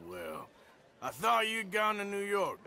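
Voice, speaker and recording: Gruff, forceful Wild West outlaw. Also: male voice, sits high, wildly swooping pitch, slow pace, slight background noise, full character voice. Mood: wry, amused. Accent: American. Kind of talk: cinematic western game acting.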